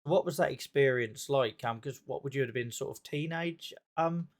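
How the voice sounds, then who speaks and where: A man's voice: mid-range voice, normal speed. A man hosting a casual online talk show, chatty and friendly, often restarting his sentences. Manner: chatty, friendly, self-interrupting.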